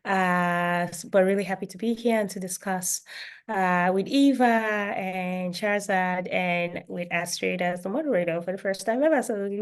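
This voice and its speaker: A woman economist speaking on a video call, unhurried in her delivery, while she is losing her voice. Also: medium pitch, smooth. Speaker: economist and founder.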